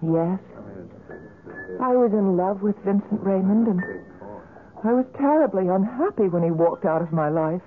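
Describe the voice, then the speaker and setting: An actress playing a sensitive, devoted young woman in a 1970s radio drama, speaking earnestly and haltingly. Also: mid-range voice, lively intonation, natural voice. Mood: wistful, troubled. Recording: muffled vintage radio drama recording.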